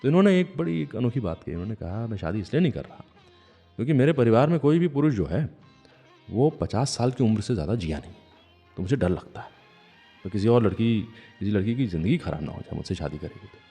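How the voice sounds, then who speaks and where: A man's voice: on the low side, very animated, fast talker, dark tone, husky. A man presenting a radio show in a conversational, musing storyteller's way, addressing listeners politely. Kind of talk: conversational radio presenting with anecdotes and philosophical asides. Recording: long hall reverb, music underneath.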